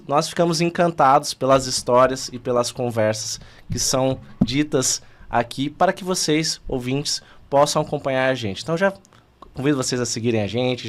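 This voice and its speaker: A Brazilian man in his thirties hosting a podcast interview, friendly and promotional, often adding "né?" to his remarks. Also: medium pitch. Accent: Brazilian Portuguese. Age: 30s. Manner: friendly, promotional, with frequent "né?" tags. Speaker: podcast host.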